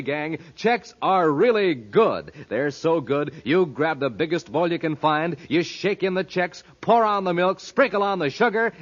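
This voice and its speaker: A man announcing in punchy, emphatic, folksy 1950s radio style. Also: on the high side. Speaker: announcer and narrator. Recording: dull old radio recording.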